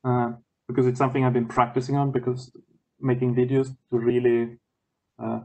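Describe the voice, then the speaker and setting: Swedish man, non-native English, halting speech with frequent restarts, guest on a video call. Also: medium pitch, flat delivery, a little mellow, rough recording. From Sweden. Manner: halting, many restarts and repeated words. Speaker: guest lecturer.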